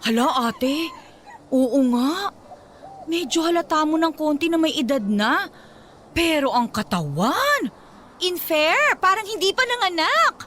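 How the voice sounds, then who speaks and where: A woman playing an excitable, gossipy younger sister in a radio drama, blunt and teasing with dramatic exclamations. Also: very high voice, measured pace, a little hiss or hum, exaggerated voice. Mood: scandalized, curious.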